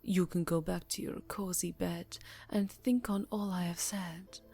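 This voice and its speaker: Woman playing a vampire countess, seductive, low-pitched, very unhurried, often whispering, aristocratic and teasing, ASMR roleplay. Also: steady tone, a little mellow. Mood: teasing, amorous. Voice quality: breathy, often dropping to a whisper. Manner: deliberate, teasing, formal. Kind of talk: ASMR roleplay, slow intimate acting. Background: music.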